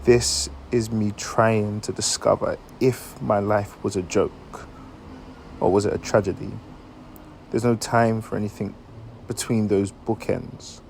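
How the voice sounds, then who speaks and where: A man performing a dramatic monologue as an anguished dying man, earnest and halting as he questions someone he loves. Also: lowish voice, level tone, gravelly, a little mellow, a little hiss or hum. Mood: pleading, bitter. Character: anguished dying man confessing long-hidden love, searching for meaning.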